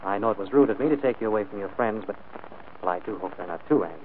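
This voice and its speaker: An actor playing a wealthy, proud and urbane employer in a 1940s radio drama, speaking in a clipped, imperious way. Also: male voice, on the low side, quick pace, natural voice. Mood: cold, sarcastic. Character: wealthy, commanding employer, suave and proud gentleman. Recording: tinny, noisy 1940s radio broadcast.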